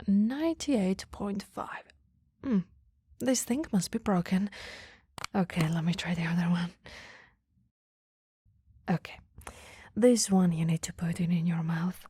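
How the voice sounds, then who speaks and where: Breathy, whispery flirty female boss, acted by a woman. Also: medium pitch, dry recording, clean studio sound. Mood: flustered, playful. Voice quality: breathy, soft. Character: caring, flirty, easily flustered female boss. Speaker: ASMR roleplay performer. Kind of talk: ASMR roleplay, soft-spoken and often whispered. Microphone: close microphone, dry.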